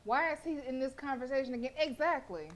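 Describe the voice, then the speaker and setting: A young woman streaming a game and reading along, talking in a loud, playful, slangy and animated way. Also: high-pitched, fast, a crowd in the background. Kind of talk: casual gameplay commentary and reading.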